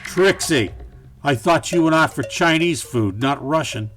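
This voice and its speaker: An actor playing a melodramatic, wisecracking private eye hero who is easily flustered, in a campy noir radio satire. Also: male voice, fairly high pitch, lively intonation, a little slow, fairly bright tone, soft background music. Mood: defiant.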